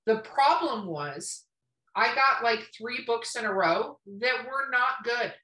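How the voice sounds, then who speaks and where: Lady podcast host, highish voice, blunt and casual, sounds distant in a roomy space. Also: expressive, slow pace, pushed and bright. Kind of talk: casual interview chat. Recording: roomy echo, distant from mic.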